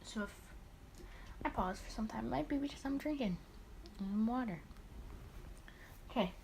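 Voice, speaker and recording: A woman's voice: fairly high pitch, normal speed, creaky, a little mellow, a little hiss or hum, rough recording. A woman hosting a casual let's play, rambling and talking to herself in asides as she goes. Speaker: host of a gaming let's play series. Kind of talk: casual let's play, chatting and reading visual novel dialogue aloud.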